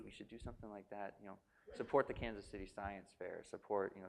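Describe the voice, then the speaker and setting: A man's voice: low voice, gravelly, low-quality recording. A man answering an audience question at a live event, rambling with many ums and you-knows.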